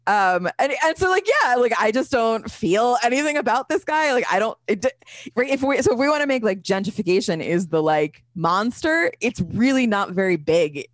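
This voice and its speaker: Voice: medium pitch, animated, moderate pace, no room sound, clean studio sound. A podcast co-host in casual chat, rambling with many fillers and laughing easily. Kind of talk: casual chat, film discussion. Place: Denver.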